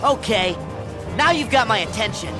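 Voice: mid-range voice, lively intonation, moderate pace, loud music behind the voice, lightly acted. An actor playing a brave, upbeat teenage boy hero in a video game, eager and direct. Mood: friendly, curious.

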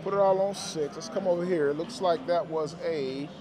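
A man's voice: a little high, normal speed, rough recording. A man giving casual, slangy play-by-play to viewers as he gambles, often repeating numbers and phrases. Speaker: gambling vlogger. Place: busy indoor casino floor. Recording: busy casino floor, music, crowd chatter. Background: music and crowd chatter.

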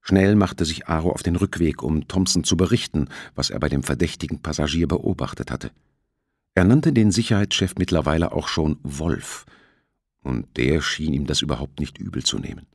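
A man's voice: very deep voice, normal speed, no room sound, clean studio sound. A man narrating a thriller audiobook in a scripted, storytelling style.

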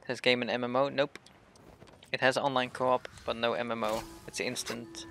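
A man's voice: medium pitch, little pitch movement, moderate pace, gravelly, fairly bright tone, soft background music. A young man streaming a game, chatting casually with viewers and commenting on his play. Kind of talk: casual live stream chat and gameplay commentary.

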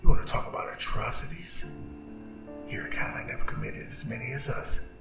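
Over clear background music comes a proud, menacing demon king acted by a man. His voice is a gravelly half-whisper, slow and grandiose, set in a roomy echo. It is a seductive, vengeful audio roleplay villain. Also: medium pitch, wildly swooping pitch. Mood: brooding, vengeful. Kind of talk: ASMR audio roleplay, dramatic monologue. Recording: roomy reverb, distant, music behind.

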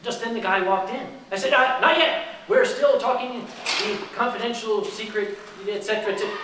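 A man's voice: very high-pitched, fairly quick. A man telling a comic story to a live audience in a large lecture hall and acting out the voices in it, heard through a handheld mic from far off. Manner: animated, acts out the voices in his story. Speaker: convention storyteller. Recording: echoey hall from far off, noisy.

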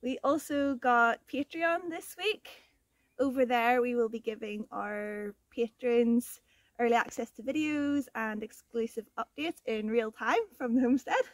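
Higher-pitched woman, chatty homestead vlogger. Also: measured pace. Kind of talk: casual homestead vlog to viewers.

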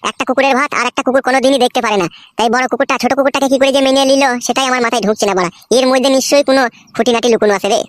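A man's voice: very high-pitched, steady tone, very fast, hardly any pauses, fairly bright tone, no room sound, studio quality. A man voicing a brash, foul-mouthed young cartoon villager with a rural West Bengal accent, grumbling in crude comic banter. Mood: scheming banter.

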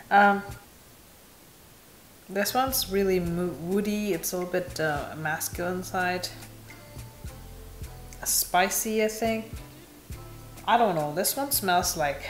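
A young woman from Indonesia reviewing perfumes in casual, candid chat, laughing at herself, filmed in a small bright room. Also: lowish voice, roomy sound. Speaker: beauty vlogger.

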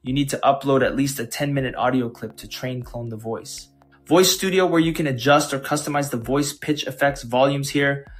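A man with a medium-pitched voice hosts a tech tutorial. He narrates in a scripted, explanatory style with some music playing behind him. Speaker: tech channel host.

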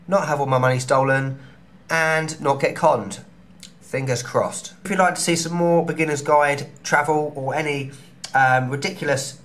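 A man's voice: on the high side, fairly bright tone. A man in his thirties with a London accent, chatting cheekily through a travel advice vlog from a small hotel room, camera held close. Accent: London English. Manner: chatty and cheeky, quick asides. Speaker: travel vlogger. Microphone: camera held close at arm's length.

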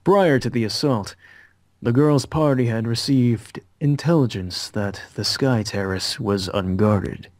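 A man's voice: medium pitch, measured pace, darkish tone, no echo. A man reading visual novel narration aloud as the narrator of a fan dub.

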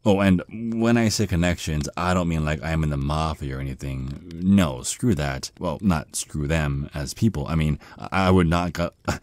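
Man acting a deep-voiced, shy, stammering vampire. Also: darkish tone, dry recording. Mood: nervous, eager. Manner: nervous stammering, halting, awkward rambling. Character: shy, flustered young male vampire on a first date. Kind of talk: ASMR roleplay acting.

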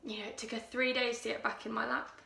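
A young woman playing an unsettling woman who tells a dark personal story in a hushed, intimate way. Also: fairly high pitch, steady tone, unhurried. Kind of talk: contemporary audition monologue. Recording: echoey room.

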